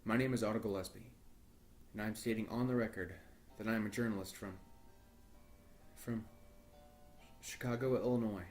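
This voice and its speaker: A man's voice: lowish voice, darkish tone, close to an everyday voice. Man acting as earnest, troubled journalist over music. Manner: deliberate, formal, storytelling delivery. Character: earnest, troubled male journalist recording his search for missing partner. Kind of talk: first-person horror audio drama monologue.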